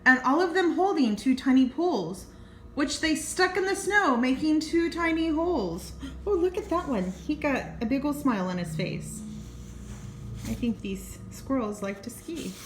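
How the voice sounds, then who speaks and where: A woman's voice: high voice, expressive, moderate pace, a little hiss or hum. An older woman reading a children's story aloud as a grandmother, expressively, with chatty asides and exclamations. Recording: roomy, echoing home recording.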